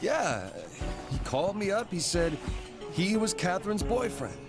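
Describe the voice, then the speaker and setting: A man's voice: sits high, sing-song, unhurried. A man voicing an anxious, stressed young man who rambles nervously and swears, in a video game cutscene with music behind. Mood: confused.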